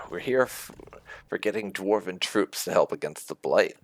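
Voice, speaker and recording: A man giving casual let's play commentary as a gaming channel host, halting and dry in delivery. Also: low-pitched, animated.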